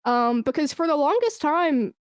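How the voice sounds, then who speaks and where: A woman's voice: high-pitched, very animated, moderate pace, no echo, clean studio sound. A woman speaking as a podcast guest in a casual, informal interview, effusive and emphatic about their work as an esports brand manager.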